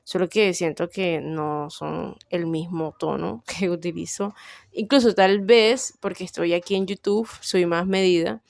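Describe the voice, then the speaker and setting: Young woman, Colombian coastal accent, gravelly, lowish voice, dry close sound, rambling personal chat. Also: fairly even intonation, measured pace, no room sound. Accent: Colombian Caribbean coast. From Barranquilla, Colombia. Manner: rambling, thinking aloud, frequent fillers. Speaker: vlogger.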